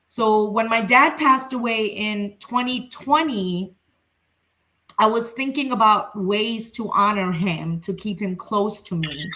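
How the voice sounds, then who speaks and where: Woman family therapist, Urdu native, candid and reflective, bright clear tone. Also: mid-range voice, slow, rough recording. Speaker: family therapist and center founder. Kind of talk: casual panel conversation.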